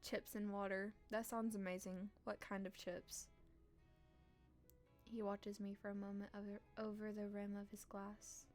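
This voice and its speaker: A woman live-streaming a visual novel, reading aloud and reacting casually in a playful, expressive, teasing way. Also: medium pitch, a little slow, soft background music.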